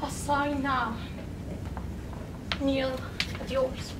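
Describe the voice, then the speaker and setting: A young woman performing a dramatic poetry reading, slow and pleading with sudden loud swells, in a large room. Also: high-pitched, level tone, far from the mic. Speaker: contest reader. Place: large college event room. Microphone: far camera, standing away from mic. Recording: echoey room recorded from afar, noisy.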